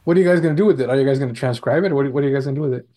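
A man's voice: mid-range voice, very fast, warm tone. A man chatting informally with a group as a regular on an online radio program. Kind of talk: casual group chat.

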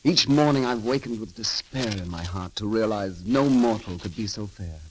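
An actor playing a roguish, glib young poet-thief in a theatrical, flowery 1930s radio drama manner. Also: male voice, on the low side, expressive, moderate pace, a little hiss or hum, close to an everyday voice, rough recording. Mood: ardent, romantic. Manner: theatrical, flowery, grandly declaiming. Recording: old 1930s radio broadcast, music behind.

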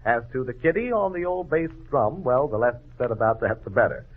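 A man's voice: medium pitch, expressive, fairly quick. A man announcing a radio quiz show in formal, scripted 1940s patter. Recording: lo-fi old radio broadcast, phone-like sound.

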